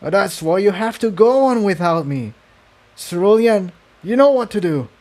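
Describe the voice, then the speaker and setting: Man doing a female storybook pony, raised pitch, noble, determined, urgent, earnest. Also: lively intonation, measured pace, a little mellow. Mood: strained resolve. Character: noble, determined female pony, self-sacrificing fire-fighting heroine.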